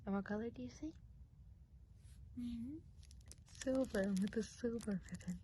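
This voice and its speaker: A woman playing a kindly witch of the woods in a soft-spoken, whispered ASMR role play, chatty and unhurried. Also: fairly high pitch, slight background noise, natural voice, rough recording. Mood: coaxing, soothing. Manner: hushed, unhurried, chatty, often trailing off.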